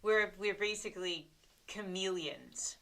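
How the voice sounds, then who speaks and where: A woman's voice: medium pitch, a little slow, vocal fry, crowd noise. A woman, a panelist on a sports chat show, talking in a wry, joking way. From South Jersey. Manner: wry, joking, repeats herself.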